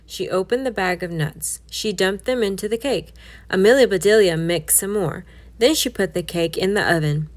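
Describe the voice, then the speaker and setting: Lady doing expressive kids storybook narration, medium pitched voice, reading aloud. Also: normal speed. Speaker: children's read-aloud narrator.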